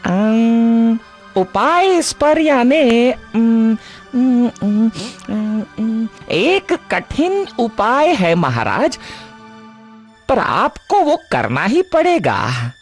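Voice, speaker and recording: A woman voicing a scheming, pompous astrologer sage in a cartoon mythological drama, theatrical, drawn-out and insistently repetitive. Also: a little high, sing-song, heavily acted. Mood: pompous, persuasive. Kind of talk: animated mythological drama dub. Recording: background music under dialogue.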